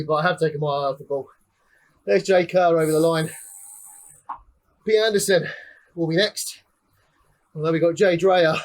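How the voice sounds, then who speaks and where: A young man giving casual live commentary on a cycling race while riding, chatty and slightly out of breath. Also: a little high. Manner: chatty, calling out finishers one by one. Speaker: ride leader and race commentator.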